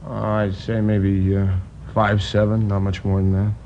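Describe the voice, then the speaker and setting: An actor playing a talkative, indignant liquor store manager recounting a robbery in a 1950s radio crime drama, rambling with hesitations. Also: male voice, lowish voice, monotone, a little slow, a little mellow, slight background noise. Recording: dull old radio transcription.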